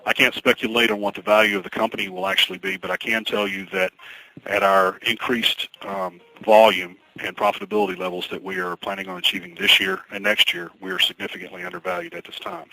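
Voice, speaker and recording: A man speaking as a company executive, answering questions on an investor conference call in a measured, formal, guarded way. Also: lowish voice, creaky, bright, forceful tone. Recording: noisy conference call recording.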